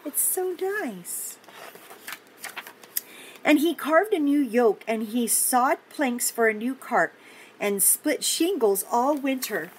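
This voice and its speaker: An older woman reading a picture book aloud to young children, gently and encouragingly, with chatty asides and questions to the listener. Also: high voice, expressive, slow, low-quality recording. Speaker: children's story reader and host. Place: indoor home office. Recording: clear background noise, room ambience.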